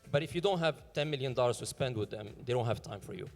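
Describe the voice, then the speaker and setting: Fast-talking startup founder in a reverberant hall. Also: male voice, mid-range voice, expressive, soft background music. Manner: fast, hesitant, many fillers and restarts. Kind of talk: pitch Q&A on stage.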